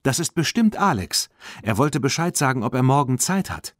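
Guy doing an eager young storybook girl, pitched up, lively n earnest, bouncy. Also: normal speed, no echo, studio quality, natural voice. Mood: excited.

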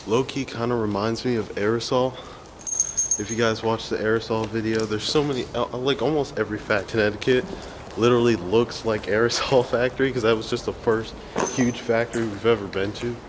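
A man's voice: on the low side, fairly quick. Young man, casual slangy vlog talk, handheld camera mic, abandoned factory, heavy background noise. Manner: relaxed, slangy, joking to viewers. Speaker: urban explorer vlogger. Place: abandoned factory, large indoor space.

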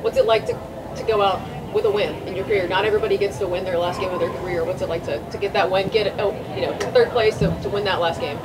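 A man's voice: sits high, steady tone, fast, far from the mic, background noise. A man asking postgame questions off camera in a rambling way full of fillers. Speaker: press interviewer.

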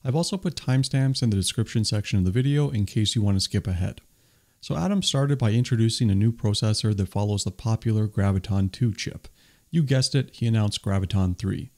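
A man's voice: lowish voice, fairly quick, a little mellow. A man hosting a tech video, reading a scripted voice-over summary with an enthusiastic, explanatory delivery. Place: voice-over, not recorded on the stage pictured.

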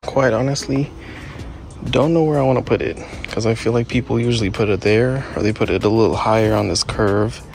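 A man's voice: on the low side, creaky. A young man talking casually to camera as a car vlogger, rambling and leaning on words like "like" and "literally". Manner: rambling, heavy use of "like" and "literally". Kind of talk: casual vlog talk to camera.

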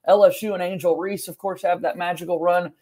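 A man's voice: high voice, steady tone, a little fast, darkish tone, slightly rough. A young man hosting a sports podcast, speaking from a small room at home. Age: young adult. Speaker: podcast host.

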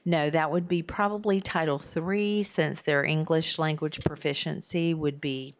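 A woman's voice: sits low, fairly even intonation, a little slow. A woman presenting a course proposal at a podium to a school board, hesitant with frequent um's. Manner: hesitant, filler-laden explaining. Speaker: district staff presenter. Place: large board meeting room. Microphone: podium microphone.

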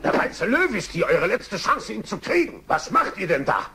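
An actor playing an irritable, blustering boss of lion trappers in a children's audio drama, scornful in manner. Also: male voice, high-pitched, very animated, moderate pace, creaky, pushed and bright, slightly rough, heavily acted. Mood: flustered, urgent.

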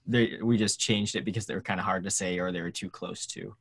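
A man's voice: sits low, fairly even intonation, fairly quick, husky, no room sound. A young man chatting casually as an interview guest, often in short agreeing replies. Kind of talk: casual chat. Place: indoor room, seated on a leather couch.

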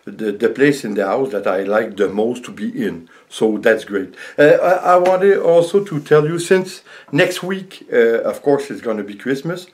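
An older man, around sixty, a native French speaker with a French accent, chatting casually to camera in a halting, rambling way as a vlogger in his home studio. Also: medium pitch, animated. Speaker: vlogger and painter. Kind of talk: casual vlog chat to camera. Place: small home art studio indoors.

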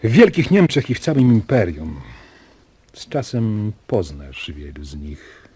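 An actor playing a grave, protective father in a radio drama, earnest and deliberate, with a husky voice. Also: on the low side, very animated, dark tone, heavily acted. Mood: grave, warning. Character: protective, grave father keeping a family secret.

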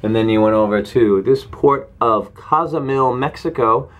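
A man's voice: mid-range voice, moderate pace, muffled recording. Man filming and asking leading questions. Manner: leading questions, tour-guide narration. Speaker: vlog host, interviewer. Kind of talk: upbeat travel vlog interview. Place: small ship cabin, indoors. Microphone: camera mic, behind the camera.

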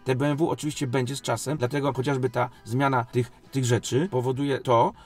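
A man's voice: medium pitch, flat delivery, brisk, a little mellow. A man giving unscripted interview answers as a sports executive, emphatic and defensive, repeating phrases and posing rhetorical questions. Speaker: sports league company president and club coach.

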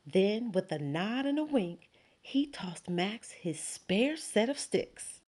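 A woman, a teacher, reading a children's picture book aloud with slow, expressive storytelling. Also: medium pitch, slightly rough. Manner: slow, expressive storytelling with sound words and character voices.

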